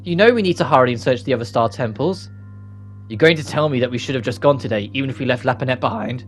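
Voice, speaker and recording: A man's voice: mid-range voice, fast, soft background music, lightly acted. A man voicing a guarded, burdened young woman in a fantasy game, terse and stern. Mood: impatient.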